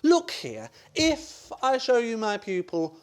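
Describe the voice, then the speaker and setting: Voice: mid-range voice, huge pitch swings, takes it slowly, darkish tone. A performer plays a harried married man in a radio farce. The delivery swings up and down a great deal, blustering and stammering with exasperation. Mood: exasperated. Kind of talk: stage farce radio play acting.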